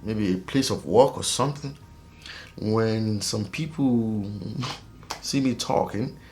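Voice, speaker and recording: Animated man from Africa, rambling vlog talk. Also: fairly low pitch, takes it slowly, soft background music. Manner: rambling, animated, frequent fillers, laughs while talking. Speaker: vlogger host. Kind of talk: casual monologue vlog.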